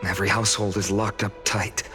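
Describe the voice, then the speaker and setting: A man's voice: lowish voice, little pitch movement, fairly quick, natural voice. A man voicing an earnest, analytical young adventurer in a video game, serious and reasoning, with music underneath. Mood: tense, pensive.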